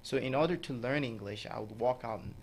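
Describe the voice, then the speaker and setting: A man's voice: medium pitch, a little fast, creaky, a little hiss or hum. Man, hesitant guest. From Nigeria. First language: Hausa. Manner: laughs often, hesitant with many fillers. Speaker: radio guest. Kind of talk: casual radio interview.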